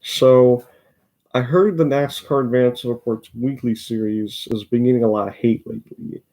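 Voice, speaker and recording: A man's voice: mid-range voice, fairly even intonation, moderate pace, dark tone. A fourteen-year-old boy with a Midwestern accent, casually rambling about racing while showing replays. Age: teens. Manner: rambling, self-interrupting, chatty. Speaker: racing video host. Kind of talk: casual commentary over race replays.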